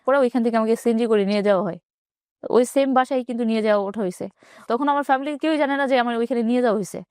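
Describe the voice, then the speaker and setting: Fast-talking Bangladeshi woman, dry close sound. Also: fairly high pitch, a little mellow, clean tone, no room sound. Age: 20s. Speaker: interview guest telling own life story. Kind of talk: personal storytelling in an interview.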